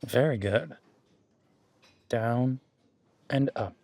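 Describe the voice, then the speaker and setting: A man's voice: mid-range voice, drawn-out words, dark tone, no echo. A man playing a friendly, patient werewolf boyfriend who coaches a workout in a casual, instructive audio roleplay. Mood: encouraging. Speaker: gym training coach in roleplay.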